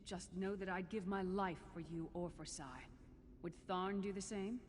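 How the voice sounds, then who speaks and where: A woman's voice: lowish voice, normal speed, close to an everyday voice. An actress playing a loyal, battle-hardened warrior woman in a fantasy video game, speaking directly, earnestly and resolutely. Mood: earnest, determined. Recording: reverberant, with faint background noise.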